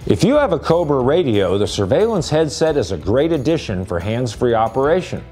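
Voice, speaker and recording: Male presenter for scripted gear review narration, lively inflection, music underneath. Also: medium pitch, brisk.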